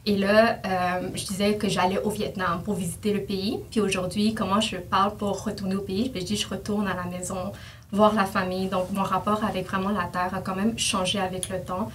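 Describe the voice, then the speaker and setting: A woman's voice: mid-range voice, little pitch movement, a little fast. A woman giving a casual personal account in a group discussion. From diaspora Montrealer. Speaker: dialogue participant. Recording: distant mic, faint background noise.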